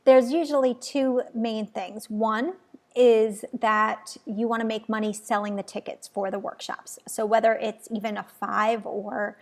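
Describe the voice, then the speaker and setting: A woman hosting an interview show, upbeat and enthusiastic as she leads the conversation. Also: a little high. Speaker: show host, business coach. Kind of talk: interview host chat.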